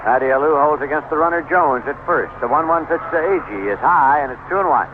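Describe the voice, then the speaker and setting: A man calling baseball play-by-play on the radio in measured, descriptive short phrases. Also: a little high, lively intonation. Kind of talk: live radio sports commentary. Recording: noisy, tinny vintage 1970s AM radio broadcast.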